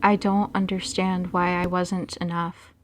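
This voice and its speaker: A woman's voice: lowish voice, level tone, a little slow, a little hiss or hum, lightly acted. A woman playing a sincere, vulnerable young girlfriend in an audio drama. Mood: hurt. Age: young adult.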